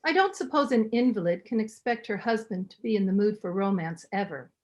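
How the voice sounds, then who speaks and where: A woman playing a frail, devoted invalid wife, gentle and anxiously pleading, in 1930s radio drama style. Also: medium pitch, animated, fairly quick, close to an everyday voice. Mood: wounded pleading.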